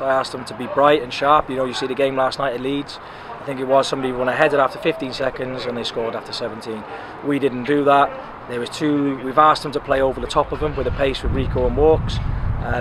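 A man in his thirties gives a blunt post-match interview as a club manager, talking at an ordinary pitch and filling gaps with "um" and "you know". He is recorded outdoors beside a football pitch. Loud wind and traffic noise run under his voice the whole time. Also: fast, few pauses. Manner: frank, animated, lots of "um" and "you know".

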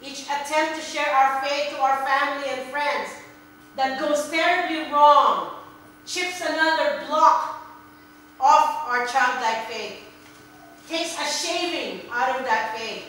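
A middle-aged woman from the Philippines preaching a sermon in a church, animated and repeating words for emphasis. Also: high-pitched, slow pace. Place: church sanctuary, indoors. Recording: very echoey hall, a bit distant, faint hum.

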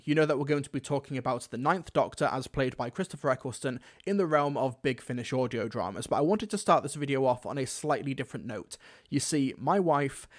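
A young man presenting a scripted review to camera in an emphatic, conversational way, close to a desk microphone. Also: mid-range voice. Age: young adult. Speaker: video reviewer.

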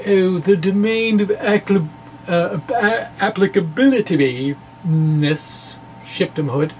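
A man's voice: high voice, a little hiss or hum, low-quality recording. A middle-aged man giving a dramatic blog reading with casual asides, in a theatrical, wry and emphatic manner. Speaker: science blogger and presenter. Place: small indoor room with bookshelves. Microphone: microphone on a stand in front of him.

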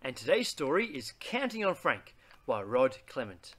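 A man, reading a children's picture book aloud in a deliberate, theatrical way. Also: medium pitch, big pitch swings, fairly bright tone, breathy edge. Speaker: read-aloud host.

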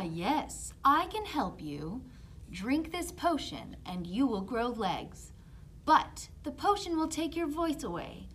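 Lady as a sly sea witch from a kids storybook, unhurried, high voice, bit of noise behind. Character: scheming female sea witch offering a bargain. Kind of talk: children's storybook puppet acting.

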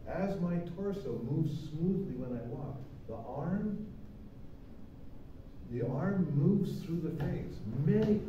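A man's voice: mid-range voice, warm tone, lo-fi sound, a little hiss or hum. A middle-aged man from Canada giving a guest piano workshop in a university classroom, chatty and self-deprecating as he explains and demonstrates at the piano. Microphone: far camera. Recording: echoey classroom, distant mic. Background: piano playing.

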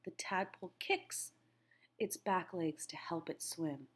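Slow-reading, slightly husky woman teacher. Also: lowish voice. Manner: simple, deliberate phrasing, addressing young listeners with questions. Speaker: teacher reading aloud to children. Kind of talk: read-aloud of a children's nature book with questions to listeners.

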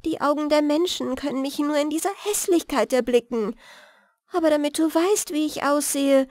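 A woman puts on a sorrowful enchanted princess from a fairy tale, gentle and plaintive. The voice is lifted high and soft, in a dry recording. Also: normal speed, no room sound, close to an everyday voice. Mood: sad, pleading.

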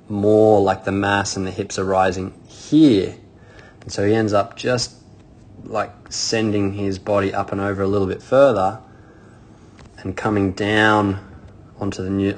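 A man's voice: fairly low pitch, husky. A man coaching skiing, talking casually over video footage, thinking aloud with many fillers and restarts. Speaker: ski coach. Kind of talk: casual video breakdown commentary.

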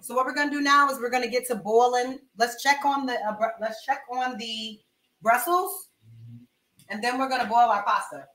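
A woman's voice: on the high side, steady tone, moderate pace, a bit bright, reverberant, poor audio. A young woman chatting casually and slangily to viewers as she hosts a cooking livestream from her home kitchen. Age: young adult. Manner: informal, slangy, chatting to viewers while moving around. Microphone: webcam-style mic at arm's length or farther.

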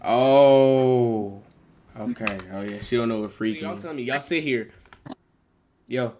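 A man's voice: medium pitch, unhurried, a little mellow. A young American man bantering casually in slang with strangers, as the host of random video chats. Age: young adult. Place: small room indoors.

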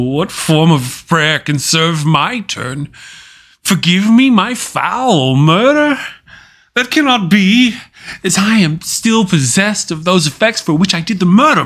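A man playing a tormented, guilt-ridden usurper king in a Shakespearean stage soliloquy, with dramatic, weighty pauses. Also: fairly high pitch, a bit bright, heavily acted.

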